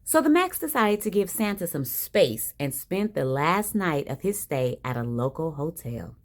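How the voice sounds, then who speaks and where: A woman reading a children's picture book aloud in an expressive style with dramatic emphasis. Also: low voice, normal speed. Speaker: storybook reader. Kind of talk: animated children's read-aloud.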